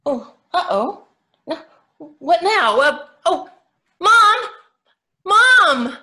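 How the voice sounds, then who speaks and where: A woman playing a wry, blunt, protective mother in a staged monologue, delivered dramatically with emphatic pauses. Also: very high-pitched, sing-song, bright, forceful tone, full character voice. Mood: alarmed.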